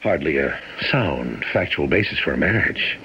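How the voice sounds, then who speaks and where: Radio mystery captain: low, formal, suspicious interrogator with swinging intonation, on a dull, hissy old recording. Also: male voice, lively intonation, moderate pace, bright, forceful tone, breathy edge, full character voice. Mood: coolly probing. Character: stern, probing male military captain, coolly suspicious. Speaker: radio drama actor. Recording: muffled, noisy old radio drama recording.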